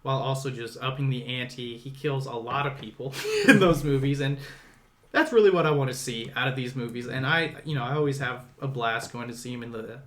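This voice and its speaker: A man's voice: mid-range voice, a little fast, a bit bright. A man hosting a podcast, talking casually about films in a conversational way and steering the discussion along. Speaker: podcast host.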